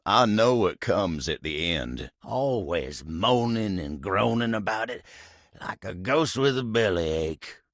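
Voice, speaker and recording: A man voicing a folksy, bashful, old-fashioned talking table in a video game, with a gravelly Southern US drawl and a polite, rambling, easygoing way of talking. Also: fairly low pitch, lively intonation, slow, a bit bright, no room sound, clean studio sound, heavily acted. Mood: wistful, easygoing. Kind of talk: video game character dialogue.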